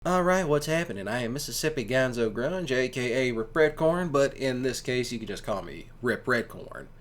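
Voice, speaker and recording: A man's voice: medium pitch, lively intonation, normal speed. A man hosting his own channel, giving casual, rambling commentary to listeners in an informal, emphatic way. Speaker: ASMR creator and host.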